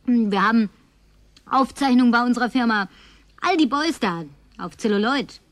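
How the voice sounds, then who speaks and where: An actress playing a tipsy, flirtatious, lonely woman survivor in a radio drama, coquettish and slipping into breathy whispers. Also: on the high side, moderate pace, no room sound, close to an everyday voice. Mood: tipsy, demanding. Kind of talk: German radio drama acting.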